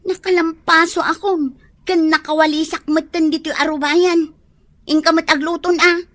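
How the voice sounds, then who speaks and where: A woman's voice: very high-pitched, flat delivery, moderate pace, a bit bright. A woman playing a whiny, complaining younger sister in an Ilocano radio comedy drama, melodramatic in manner.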